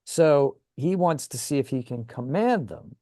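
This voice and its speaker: A man's voice: on the high side, lively intonation, a little slow, warm tone, no room sound. Dry close-sounding man chatting casually. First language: English. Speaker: co-host of a tabletop gaming show. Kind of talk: casual conversational chat.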